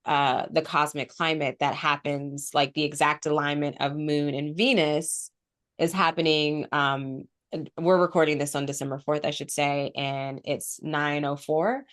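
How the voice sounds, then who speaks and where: A woman's voice: sits low, little pitch movement, unhurried. A woman co-hosting a casual spiritual podcast, congested from a cold with a sore throat, explaining at length with frequent ums. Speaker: podcast co-host.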